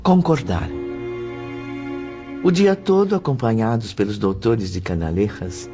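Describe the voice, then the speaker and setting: Slow, solemn male audiobook narrator. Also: on the low side, expressive, dull audio, music in the background. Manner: solemn, literary.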